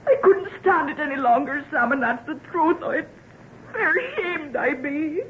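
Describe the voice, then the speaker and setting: Plaintive rural English lass, high voice, ashamed, tearful, radio melodrama, tinny sound. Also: female voice, animated, moderate pace. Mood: distressed, ashamed. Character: distressed, ashamed young country girl. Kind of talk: old-time radio melodrama acting. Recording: tinny, hissy old radio drama recording.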